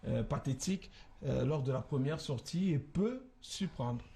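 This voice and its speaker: Brisk male sports show host, mellow tone. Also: mid-range voice, vocal fry. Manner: brisk prompting, then lively match recap. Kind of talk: studio sports talk.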